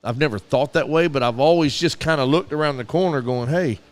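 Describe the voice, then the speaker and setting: A man's voice: on the high side, a little fast. A middle-aged man with a Southern American accent, co-hosting a casual radio podcast and telling stories earnestly. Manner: earnest, storytelling, with repeated restarts. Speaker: podcast co-host.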